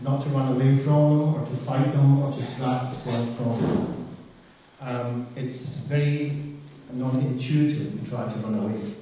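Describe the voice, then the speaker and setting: A middle-aged man with a heavy Israeli accent, a clinical social worker speaking slowly and deliberately to an audience, with pauses and soft drops in his voice. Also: medium pitch, steady tone, a little hiss or hum. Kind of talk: public speech to an audience. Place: large hall. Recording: distant, echoey, dull hall recording.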